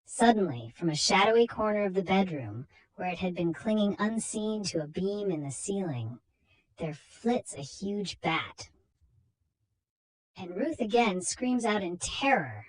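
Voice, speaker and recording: A woman's voice: mid-range voice, sing-song, a little slow, rough recording. A woman narrating a short story in a dramatic storytelling style.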